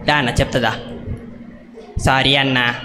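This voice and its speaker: A man's voice: mid-range voice, flat delivery, normal speed, background noise, lightly acted. A man voicing a mischievous, whiny little-brother puppet who pleads and wheedles in a children's puppet show. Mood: pleading, reluctant. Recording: hall reverb with music behind.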